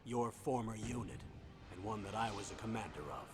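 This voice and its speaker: An actor playing a stern older male military commander in a video game briefing, speaking in a measured, deliberate, grave way. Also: on the low side, animated, close to an everyday voice. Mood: grave, authoritative. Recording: loud music under the voice. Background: loud game soundtrack music.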